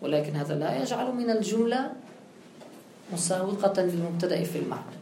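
A woman's voice: mid-range voice, lively intonation, a little fast, creaky, dark tone, a little hiss or hum. A woman teaching grammar with a touch, explaining step by step and posing her own questions. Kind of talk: classical grammar lesson. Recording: echoey room with faint ambience.

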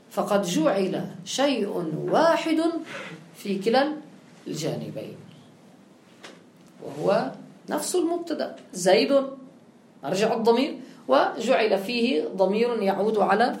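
A woman's voice: mid-range voice, gravelly, a little mellow, a little hiss or hum. A woman teaching grammar with a touch, explaining step by step and posing her own questions. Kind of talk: classical grammar lesson. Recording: echoey room with faint ambience.

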